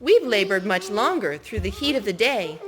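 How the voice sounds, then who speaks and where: A woman's voice: mid-range voice, sing-song, moderate pace, natural voice. A woman voicing indignant male labourers in a storybook parable, complaining in an emphatic whine. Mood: indignant whining.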